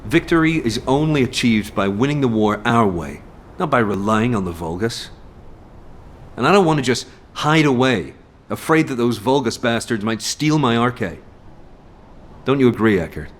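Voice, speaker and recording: A man's voice: medium pitch, lively intonation, normal speed, close to an everyday voice. A man playing a determined, battle-hardened soldier in a video game, speaking in a terse, resolute, commanding way. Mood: bitter, resolute. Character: determined, battle-hardened male soldier leading a covert mission.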